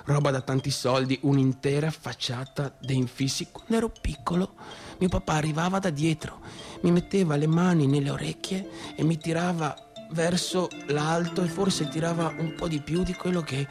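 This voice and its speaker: A male actor plays a cocky provincial businessman's son with a fairly high voice and a Veneto accent from northeastern Italy. He rambles excitably in colloquial, repetitive phrases, as in a radio theatre monologue, with music behind him. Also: normal speed, natural voice. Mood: boastful. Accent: northeastern Italian (Veneto). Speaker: stage actor performing a monologue.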